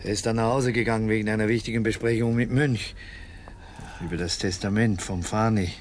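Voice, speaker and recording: An actor playing a stiff, disapproving school director in a radio drama. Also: male voice, on the low side, steady tone, fairly quick, a little hiss or hum, natural voice. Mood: matter-of-fact. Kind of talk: German radio drama acting.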